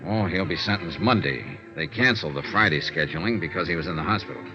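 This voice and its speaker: An American actor playing a world-weary but sympathetic insurance investigator in a vintage radio detective drama, terse and dry in delivery. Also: male voice, low voice, expressive, quick pace, vocal fry. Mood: matter-of-fact. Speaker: detective narrator and lead. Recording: dull vintage radio recording with music.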